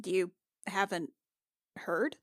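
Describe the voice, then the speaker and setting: A woman's voice: fairly low pitch, steady tone, very slow, no echo, clean studio sound. A woman playing a tabletop role-playing game, talking informally and casually in character.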